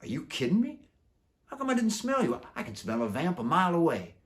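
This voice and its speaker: A man's voice: a little high, wildly swooping pitch, brisk, voice pushed up. A man voicing a brash, boastful, streetwise male werewolf in a children's storybook, with slangy, chummy, showy talk. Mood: incredulous. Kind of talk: children's book character voice.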